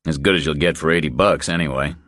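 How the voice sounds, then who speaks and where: A terse, tough male investigator , played by a man speaks in an extremely low voice. His delivery is clipped, observant and matter-of-fact, as audiobook dialogue in a dry recording. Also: fast talker, fairly bright tone, dry recording. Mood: laconic.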